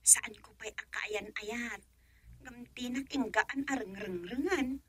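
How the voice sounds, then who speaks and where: An actress voicing a chatty young woman gossiping with her cousin in a radio drama, lively and dropping to a whisper for secrets. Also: fairly high pitch, slow pace, slightly rough, exaggerated voice. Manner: lively, drops to a whisper when sharing secrets.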